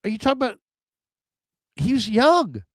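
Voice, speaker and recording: A man's voice: very high voice, sing-song, normal speed, dry recording, clean studio sound. A man co-hosting a casual sports talk show. He sounds nasal and stuffed up from a cold, and he hesitates while searching for words.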